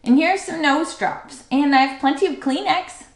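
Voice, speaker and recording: A woman's voice: high-pitched, normal speed, reverberant, voice pushed up. A woman voicing a small, earnest, polite boy mouse in an eager storybook manner. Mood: eager, friendly.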